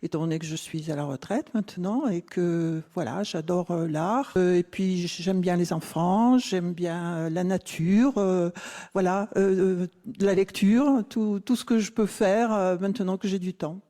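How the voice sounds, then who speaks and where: A woman playing an older retired woman, answering interview questions in a halting, hesitant way. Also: lowish voice, mellow, natural voice. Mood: content, hesitant. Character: cheerful retired woman with artistic hobbies, interviewee. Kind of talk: interview answers in a listening exercise. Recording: very roomy hall-like reverb.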